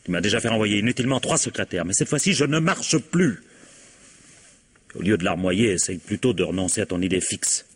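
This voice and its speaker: An actor in a French radio drama playing a weary, cutting husband, husky-voiced, curt and reproachful. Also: male voice, lowish voice, animated, a little fast. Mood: exasperated. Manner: curt, reproachful, dryly sarcastic. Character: exasperated, cutting husband weary of his jealous wife.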